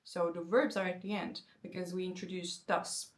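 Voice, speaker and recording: A woman in her twenties, a native Turkish speaker, explaining language learning in a casual, lively way and slowing down for example sentences. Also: fairly low pitch. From Turkey. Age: 20s. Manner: lively, conversational, slows down to spell out example sentences. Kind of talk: casual explanatory talk to camera.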